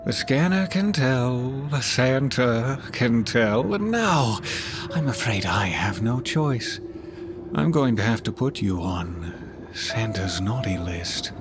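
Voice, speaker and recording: A man acts out a menacing Christmas elf working as an attendant in an audio horror story. He is gleefully officious and mock-polite, drawing out his taunts slowly with swooping pitch. Music plays clearly behind him. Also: lively intonation. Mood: smug menace.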